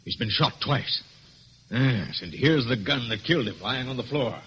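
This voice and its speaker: A muffled old radio recording with light hiss carries a police inspector. He is blunt, stern and suspicious, in a medium-pitched male voice. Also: lively intonation, moderate pace, pushed and bright. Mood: alarmed, accusing. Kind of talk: radio drama acting.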